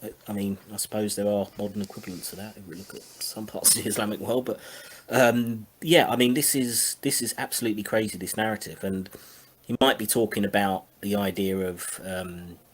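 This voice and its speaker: A man with a lowish, rough-edged voice comments critically in casual discussion. He is deliberate and halting, with a sceptical manner. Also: steady tone. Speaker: panel commentator.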